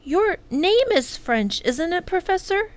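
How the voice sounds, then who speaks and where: A woman's voice: very high-pitched, sing-song, takes it slowly, pitched above the performer's own voice, heavily acted. A woman voicing eager, curious, sweet children in a storybook, with a wide-eyed, questioning manner. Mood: inquisitive. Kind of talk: children's storybook character reading.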